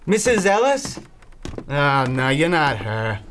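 An actor playing a grouchy, nervous recluse in an audio drama, irritable and prone to stammering. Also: male voice, a little high, big pitch swings, takes it slowly, fairly bright tone, a little hiss or hum, full character voice. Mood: anxious. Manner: irritable, stammering when anxious. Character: grouchy reclusive man, nervous and pleading under pressure.

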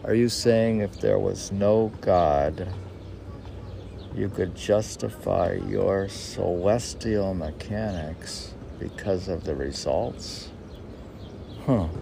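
A man's voice: sits low, slow. A man reading his own verse and rambling in spontaneous commentary, musing aloud and answering his own questions. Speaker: poet and podcast host. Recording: clearly noisy background.

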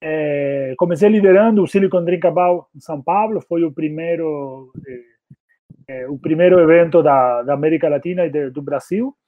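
Male podcast guest, Argentine accent, native Spanish speaker, fairly high voice, thin phone-like audio. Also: moderate pace, no room sound. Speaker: podcast guest, community marketing specialist. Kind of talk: casual interview talk.

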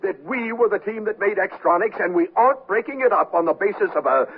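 An actor playing a flustered, excitable company chairman in a radio comedy, with a hesitant, blustery, stammering delivery. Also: sits high, fairly quick, husky, narrow, tinny audio. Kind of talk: 1970s radio comedy acting. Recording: thin, noisy old radio broadcast.

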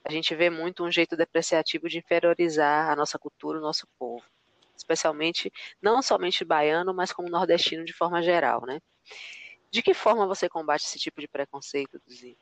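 A woman's voice: deep voice, fairly quick, dry recording. Composed woman interviewer, dry sound. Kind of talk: interview question.

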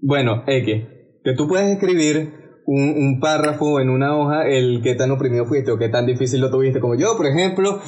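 A man's voice: medium pitch, normal speed, a little mellow. A young man from Venezuela with a Venezuelan Spanish accent, co-hosting a casual podcast debate and often stumbling over words. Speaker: podcast co-host.

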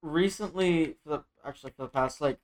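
A young man of Venezuelan family co-hosting a podcast, telling a story in a relaxed way with fillers. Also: on the high side, a little fast, noisy background. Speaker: podcast co-host. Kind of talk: casual chat.

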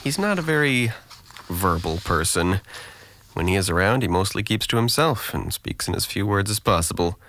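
A man's voice: deep voice, lively intonation, moderate pace, fairly bright tone. An actor playing a sarcastic, guarded, depressed young man with dry wit and an articulate, deflecting manner in an audio drama. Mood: detached, weary.

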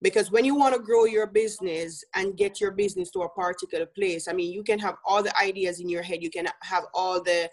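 A woman's voice: mid-range voice, a little fast, few pauses, rough recording. A woman from Jamaica with a Jamaican accent, a business coach speaking as an interview guest in an earnest way, with easy laughs and many fillers.

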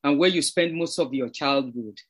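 A man's voice: medium pitch, normal speed, slightly rough. A male podcast host welcoming and questioning his guest effusively. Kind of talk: conversational interview.

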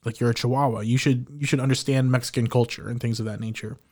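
A man co-hosting a podcast in casual chat about a film. His mid-pitched voice is animated, and he often trips over his words and restarts. He laughs now and then as he talks. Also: quick pace. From Filipino heritage, living in the United States. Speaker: podcast co-host. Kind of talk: casual movie review chat.

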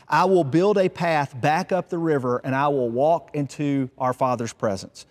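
Man playing an earnest guilt-ridden brother, fairly high voice. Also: moderate pace, natural voice. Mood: determined, remorseful. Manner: earnest, emphatic, self-reproaching. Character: guilt-ridden, stubborn, toiling younger brother. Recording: long hall reverb.

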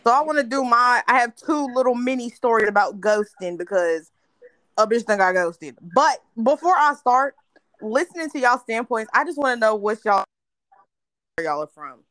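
A woman's voice: a little high, lively intonation, normal speed, a bit bright, no echo, rough recording. A woman from North Carolina telling a candid story in a casual chat with friends, laughing often. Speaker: podcast host.

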